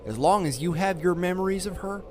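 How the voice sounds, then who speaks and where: An actor playing a kindly, rambling survivor in an audio drama, stammering and repeating himself in a folksy way. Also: male voice, high-pitched, fairly quick, background noise, close to an everyday voice. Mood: consoling, earnest. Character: gruff but kindly rambling male crash survivor.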